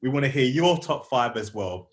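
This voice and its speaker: A man's voice: mid-range voice, lively intonation, normal speed. A man co-hosting a podcast in casual, slangy banter. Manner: slangy, chatty. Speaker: podcast co-host.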